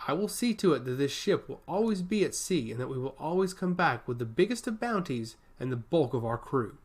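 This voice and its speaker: A man voicing a loyal, resolute female ship captain who speaks solemnly and calmly. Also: a little high, brisk, breathy edge. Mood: solemn resolve.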